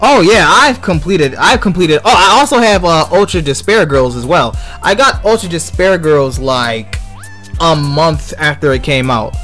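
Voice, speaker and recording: A man's voice: a little high, lively intonation, unhurried, sharp tone, soft background music. A man chatting casually as he livestreams a game, rambling and often repeating his phrases. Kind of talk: casual gaming stream chat.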